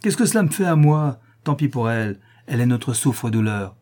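A man voicing a fierce, quick-tempered woman prisoner who shouts and bullies, in a husky voice. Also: mid-range voice, lively intonation, lightly acted. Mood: angry, scornful. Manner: harsh, shouting, bullying.

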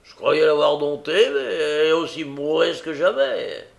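A man voicing a brutal, bullying brigand in a big gruff voice, barking threats and oaths in a story reading. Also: medium pitch, very animated, normal speed, fairly bright tone. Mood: gruff, exasperated.

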